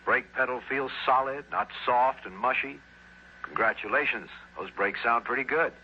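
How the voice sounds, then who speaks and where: A man's voice: mid-range voice, normal speed, husky. A man with an American accent giving folksy step-by-step instructions in a 1960s radio commercial. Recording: dull vintage radio recording.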